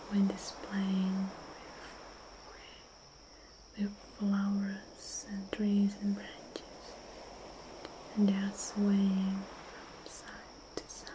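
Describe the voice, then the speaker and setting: A young woman whispering a slow, dreamy ASMR guided visualization close to the mic, with soft murmured hums between phrases. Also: mid-range voice, completely flat delivery, noisy background. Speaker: ASMR host.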